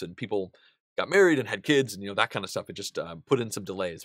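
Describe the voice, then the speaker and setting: A man in his thirties hosting a board game channel, seated at a desk in a small studio and answering viewer questions in a relaxed, chatty way with plenty of ums and restarts. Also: fairly low pitch, dry recording. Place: indoor studio room with a wall of game pieces.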